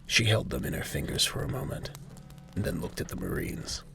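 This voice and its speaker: A man's voice: lowish voice, huge pitch swings, a little fast, creaky, pushed and bright, a little hiss or hum. A man reading scripted narration for a military audio drama as its narrator. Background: music.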